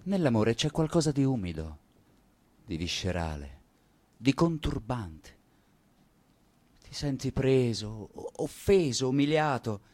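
A man's voice: medium pitch, expressive, slow pace, long pauses, darkish tone. An actor playing a yearning, sensual man confiding in his male friend, in a lyrical, intense radio play manner. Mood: impassioned. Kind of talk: theatrical radio play acting.

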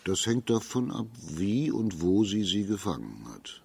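An actor playing a shrewd, authoritative imperial judge in a radio drama, speaking in a measured, formal, deliberate manner. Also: male voice, lowish voice, sing-song, creaky, a little mellow, a little hiss or hum, full character voice. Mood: pondering, measured.

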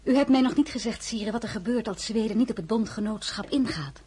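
A woman playing a composed, courteous highborn lady in a radio drama, speaking formally and with restraint. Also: a little fast, warm tone, a little hiss or hum, close to an everyday voice. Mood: coolly firm.

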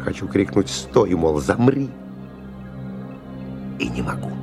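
An actor playing a weary, rough stalker who recounts a dangerous expedition in halting, hushed, intense phrases in a radio play. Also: male voice, low-pitched, sing-song, heavily acted. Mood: tense, shaken. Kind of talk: dramatic first-person radio play narration. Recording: 1990 radio play with music underneath.

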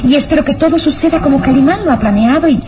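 Woman acting a high-voiced, anxious radio heroine. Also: fast, over music, natural voice. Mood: fearful, uneasy. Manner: melodramatic, urgent. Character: anxious, insistent young noblewoman demanding answers. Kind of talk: old radio serial acting.

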